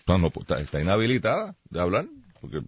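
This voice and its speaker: A man's voice: low-pitched, huge pitch swings, fast talker, husky, dry recording. A man with a Puerto Rican accent giving blunt, emphatic political opinions as a radio host, with dull radio sound.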